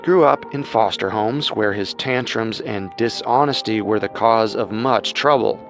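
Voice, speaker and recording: A man co-hosting a podcast, reading scripted true-crime narration in a measured storytelling manner. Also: lowish voice, soft background music.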